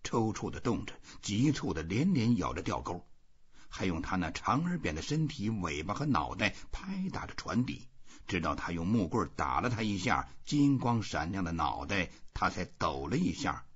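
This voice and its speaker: A man's voice: low-pitched, big pitch swings, moderate pace, slightly rough. A man reading a literary novel aloud as scripted audiobook narration, in a dull, muffled recording. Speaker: audiobook reader.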